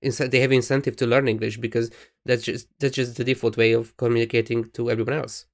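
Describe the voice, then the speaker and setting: A Hungarian man co-hosting a podcast in casual chat, speaking English as a second language with a Hungarian accent and often pausing to search for words. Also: medium pitch, level tone. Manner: thoughtful, restarts sentences while searching for words. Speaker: podcast co-host.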